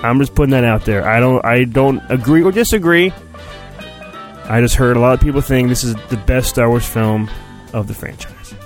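A man's voice: mid-range voice, normal speed, soft background music. A man hosting a podcast, giving a comic, mock-earnest dramatic storybook reading with chatty asides and his own sound effects.

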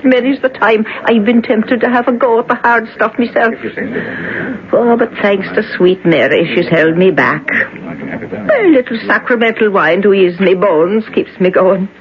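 An old Irish cleaning woman. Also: on the high side. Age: older. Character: elderly Irish cleaning woman, pious, chatty, superstitious. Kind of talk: 1970s radio drama acting. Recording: muffled old radio broadcast, faint hiss.

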